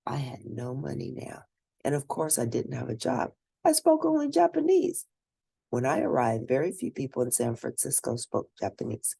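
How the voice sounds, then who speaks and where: Female storybook narrator, gravelly and husky, lively pitch movement, deliberate delivery, close dry recording. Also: dry recording. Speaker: read-aloud narrator. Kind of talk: scripted storybook reading in first person.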